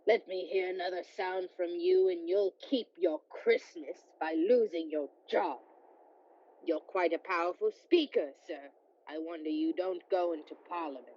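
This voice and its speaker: A woman's voice: on the low side, moderate pace, darkish tone, dull audio, lightly acted. A woman playing a bitter, miserly old businessman in a radio play, curt and scornful, declaiming theatrically. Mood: irritated, sarcastic.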